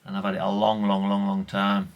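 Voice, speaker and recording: A man's voice: deep voice, monotone, brisk. A middle-aged man chatting casually and colloquially in a rambling vlog, often tagging questions onto what he says. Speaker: vlogger, radio collector.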